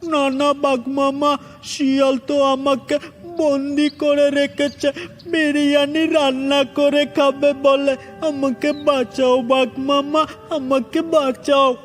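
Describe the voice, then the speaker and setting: A man's voice: very high voice, little pitch movement, takes it slowly. A man voicing a frightened little bird child in a children's cartoon, pleading tearfully and repeating words. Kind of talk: Bengali children's cartoon storytelling. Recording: heavy reverb with music.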